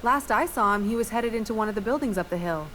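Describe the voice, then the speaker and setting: A woman's voice: a little high, animated, fast, close to an everyday voice. Woman acting plainspoken settler woman, helpful, chatty, video game dialogue, faint noise behind. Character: folksy frontier settlement woman, helpful and loyal.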